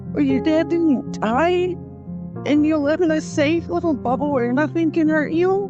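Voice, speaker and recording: A woman playing a hurt, angry young heroine in an audio drama, emotional and accusing. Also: high voice. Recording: slightly dull, music underneath.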